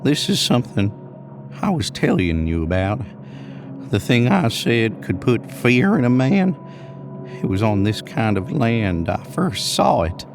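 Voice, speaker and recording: A man's voice: mid-range voice, very animated, a little slow, over music. A man voicing an older, rambling American cowboy in an audio horror story, with a folksy, drawling manner. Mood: ominous, musing. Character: older rambling cowboy spinning a spooky trail tale.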